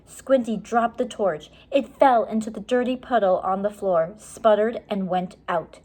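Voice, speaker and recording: A woman reading a children's chapter book aloud with measured, expressive storytelling. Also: mid-range voice. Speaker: story reader.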